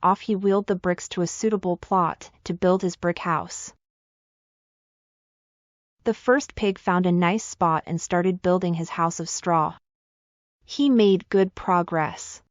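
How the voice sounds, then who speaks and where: A woman's voice: lowish voice, fairly even intonation, slow, no room sound, lo-fi sound. A woman reading a scripted children's fairy tale as the storyteller.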